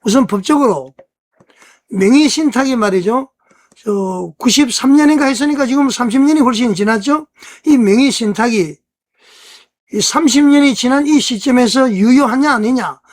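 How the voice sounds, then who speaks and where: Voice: medium pitch, moderate pace. A news commentator on an online church news channel, explaining conversationally and putting rhetorical questions to the audience.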